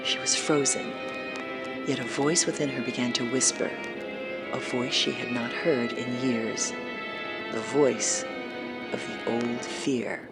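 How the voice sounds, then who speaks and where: A narrator reading a scripted horror story in a measured, suspenseful way. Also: medium pitch, fairly even intonation. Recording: loud music under the narration. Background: loud music.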